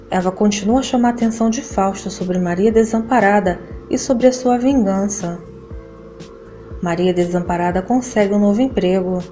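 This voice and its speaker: A Brazilian woman narrates a soap opera recap from a script. Her voice sits at a middle pitch. Light music plays behind her. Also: warm tone.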